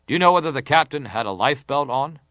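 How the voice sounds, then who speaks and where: A man acting as a senator at an inquiry, putting questions in a formal, deliberate and persistent manner. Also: mid-range voice, animated, bright, forceful tone, no echo, clean studio sound. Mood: grave, probing. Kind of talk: dramatized reading of hearing testimony.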